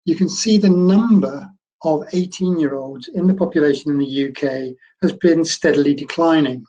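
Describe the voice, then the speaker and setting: An older man, a medical school professor, giving a conversational online lecture in a croaky voice, explaining things in an encouraging way. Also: on the high side, moderate pace, mellow, dull audio.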